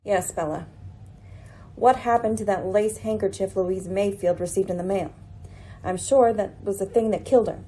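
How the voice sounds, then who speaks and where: A woman acts as a lady detective in a radio mystery drama. She is composed and dryly ironic, with a mellow, slightly rough voice and poised, formal phrasing. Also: mid-range voice, normal speed, lightly acted, low-quality recording. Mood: pensive. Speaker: actor in an amateur radio play.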